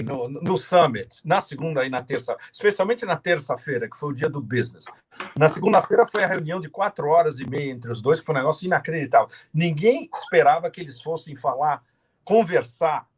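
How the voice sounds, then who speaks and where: A man with a husky, fairly high voice that swings widely in pitch, talking over a video call. He speaks with passion, stresses his points hard and often stumbles and restarts mid-phrase. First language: not Portuguese; says he no longer speaks it. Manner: passionate, halting restarts, emphatic. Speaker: guest commentator.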